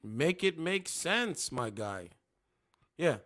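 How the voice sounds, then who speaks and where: A man's voice: on the high side, very animated, slow, fairly bright tone, a little hiss or hum. Young male streamer, loose and slangy, preachy, repeats his points, casual chat tone. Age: 20s. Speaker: livestream host.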